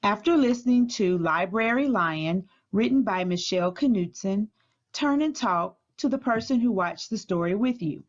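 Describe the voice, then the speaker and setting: A woman school librarian reading aloud and giving instructions to children in a deliberate, teacherly way. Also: mid-range voice, animated, highs cut off. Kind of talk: storybook read-aloud and activity instructions.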